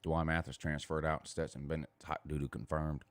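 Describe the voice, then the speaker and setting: A man's voice: rumbling low, level tone, fairly quick, clean tone, no echo. A man with a Southern US accent hosting a casual sports banter show, emphatic and boastful, repeating himself and swearing freely. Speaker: morning show host.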